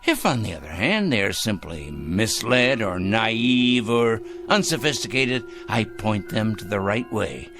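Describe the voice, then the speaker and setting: A man's voice: lowish voice, sing-song, unhurried, hardly any pauses, music in the background. An actor in a radio drama playing a fussy, sanctimonious, secretive man obsessed with judging evil, speaking precisely and condescendingly. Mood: self-righteous, menacing. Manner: pompous, condescending, precise and theatrical.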